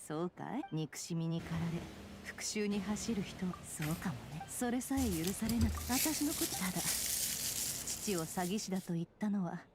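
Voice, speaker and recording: An actress voicing a guarded, sardonic adult woman in game dialogue, with dry, measured, questioning replies. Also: medium pitch, lively intonation, noisy background, lightly acted. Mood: wry. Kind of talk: Japanese game voice acting. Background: game music.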